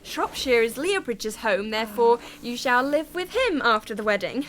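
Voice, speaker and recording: Woman playing a high, bright, gushing young lady. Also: expressive, normal speed, slight background noise. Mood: sugary, breezy. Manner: sugary, effusive. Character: gushing, dutiful young upper-class sister. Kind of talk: period radio drama acting.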